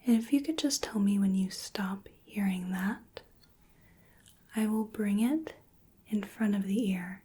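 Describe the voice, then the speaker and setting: A woman in her twenties speaking in a gentle, breathy whisper, carefully giving instructions in a soft-spoken ASMR medical roleplay. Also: medium pitch, a little slow. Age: 20s. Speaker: ASMR performer playing a hearing examiner. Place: small indoor room. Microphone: close microphone, just off camera.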